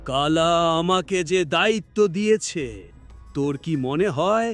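A man's voice: sits high, measured pace, fairly bright tone. A man voicing a boastful, swaggering demon henchman in a horror fairy-tale cartoon. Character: boastful male demon henchman, eager to please. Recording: music under the voice.

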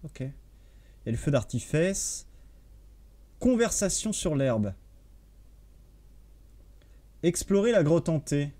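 A man's voice: on the high side, very animated, unhurried, dark tone. A man in his thirties casually commenting on a farming game to viewers at home, chatty and swearing offhandedly. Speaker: gaming streamer. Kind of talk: casual let's-play commentary. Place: home room at desk. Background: faint game music.

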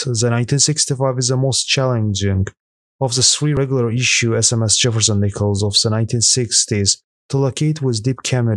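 A man reading a scripted voice-over that counts down rare coins sold at auction, in a steady, even manner with an occasional slip. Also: medium pitch, no room sound, studio quality. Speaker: narrator of a coin auction countdown. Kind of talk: scripted voice-over narration read aloud.

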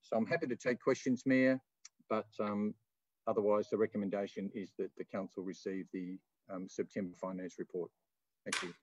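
A man's voice: on the low side, flat delivery, moderate pace, a little mellow, dry recording. Australian man, dry slightly dull meeting sound. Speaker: council finance officer presenting a report. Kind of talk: formal meeting report and answers.

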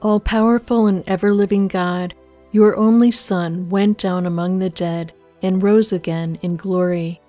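A woman reciting a formal prayer in a reverent, measured manner. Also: lowish voice, warm tone, dry recording.

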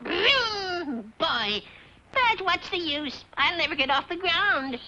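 Wicked old witch: very high, swooping voice, grumpy and hapless, theatrical cartoon comedy on a noisy old soundtrack. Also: animated, slow, pushed and bright, muffled recording, full character voice. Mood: dry, resigned. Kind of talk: comic fairy-tale cartoon acting.

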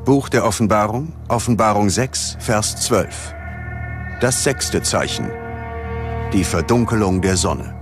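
A man with a fairly low voice delivers scripted, dramatic documentary narration. Prominent music plays behind him. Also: expressive, slow.

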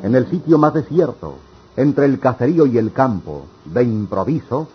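A man's voice: mid-range voice, big pitch swings, moderate pace, slight background noise. A man narrating a scripted radio drama. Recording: dull recording with music behind.

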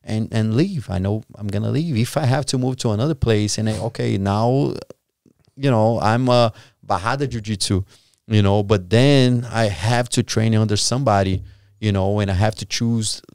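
Gravelly Brazilian man, low voice. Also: darkish tone, dry recording, clean studio sound. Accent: Brazilian Portuguese. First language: Portuguese. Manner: halting, searching for words. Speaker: guest. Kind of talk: casual interview. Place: small podcast studio. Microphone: studio boom mic with headphones.

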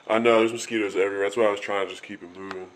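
Low-voiced man muttering while exploring. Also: flat delivery, racing. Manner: offhand, swears casually. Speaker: urban explorer filming. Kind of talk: casual exploring chatter. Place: abandoned building, indoors and outdoors. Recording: noisy camera audio.

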